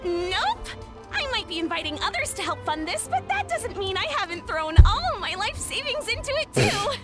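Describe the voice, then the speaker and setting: High, gushing theme-park promoter. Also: female voice, big pitch swings, moderate pace, loud music behind the voice. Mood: defensive cheer. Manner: theatrical, effusive, salesy. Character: excitable, eager, gushing young female park promoter. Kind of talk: video game character acting.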